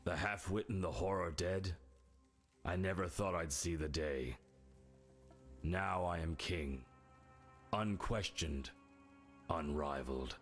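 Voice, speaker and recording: A man's voice: low-pitched, slow, long pauses, soft background music. An actor playing a smug, pompous bandit lord in a video game, gloating like a self-crowned king. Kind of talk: video game character acting.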